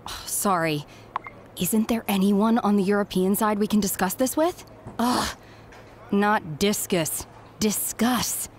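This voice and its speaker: A woman playing a harried middle-aged recruiter in a video game cutscene, brisk, distracted and businesslike. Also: mid-range voice, a little hiss or hum, lightly acted. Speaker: head recruiter.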